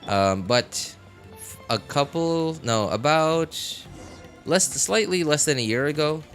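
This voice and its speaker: A man in his thirties chatting casually on a stream, telling a story in a halting, repetitive way. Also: on the high side, lively intonation, a bit bright. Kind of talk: casual rambling livestream chat. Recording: game music and dialogue behind the voice. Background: video game music and character voices.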